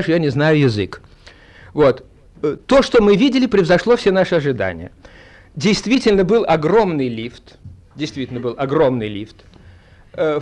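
A man speaking to an audience in an anecdotal, theatrical way, with halting, digressive phrasing. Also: on the high side. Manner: theatrical storytelling, acting out dialogue. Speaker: theatre colleague giving a reminiscence.

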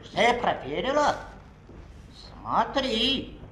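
Dry ironic sailor, high voice, very slow, roomy old film sound with faint music. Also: male voice, sing-song, pushed and bright, slight background noise, exaggerated voice. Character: wry foreign-born sailor with halting Russian. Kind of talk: 1960s film acting.

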